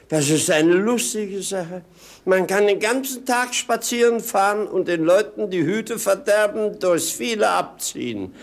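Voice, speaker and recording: A cheeky, idle clown of a companion in a 1950s radio play. His voice is on the high side for a man. He draws his words out slowly in a theatrical singsong, like a mock philosopher. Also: full character voice. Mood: gleeful.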